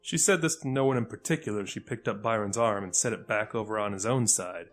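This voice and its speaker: A man's voice: fairly low pitch, fast. A man narrating a scripted audio fiction story. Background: faint music.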